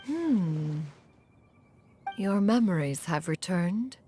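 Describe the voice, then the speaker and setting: A woman's voice: lowish voice, expressive, very slow, mellow, soft background music. A woman voicing a stately, wise immortal master in a game, composed and formally archaic in phrasing. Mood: measured, sympathetic. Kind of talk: dramatic game voice acting.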